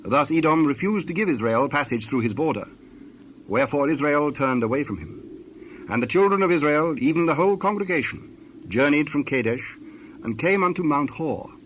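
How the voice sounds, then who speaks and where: A man narrating dramatized scripture from a script, in measured, solemn short phrases. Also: a little high, expressive, a bit bright, slight background noise, poor audio.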